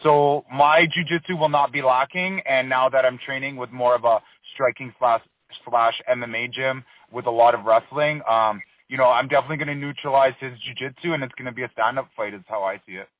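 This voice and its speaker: A man in his thirties, a fighter being interviewed, talking in a relaxed, rambling way full of fillers and restarts. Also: medium pitch, a little fast, no room sound. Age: 30s. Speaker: MMA fighter, interview guest. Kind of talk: casual phone interview. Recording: dry tinny phone line.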